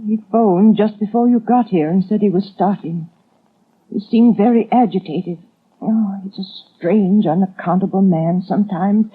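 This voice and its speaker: A muffled 1930s radio recording. An actress plays a wealthy, frail older wife with a husky voice. She is genteel and polite, with a troubled air. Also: mid-range voice, moderate pace, close to an everyday voice. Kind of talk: 1930s radio drama acting.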